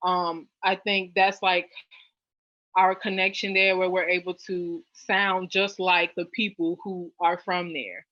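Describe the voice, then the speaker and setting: A young woman from New Orleans chatting as an interview guest, with lots of fillers and lively jumps in pitch. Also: takes it slowly, no room sound. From New Orleans, US South. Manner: chatty, many fillers, animated rises in pitch. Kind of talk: casual interview chat. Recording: dull lo-fi dry sound.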